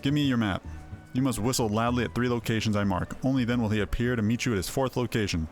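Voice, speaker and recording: A man's voice: medium pitch, fast talker, soft background music, lightly acted. A man playing a businesslike, secretive veteran in a fantasy game, giving formal instructions. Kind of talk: voiced game dialogue.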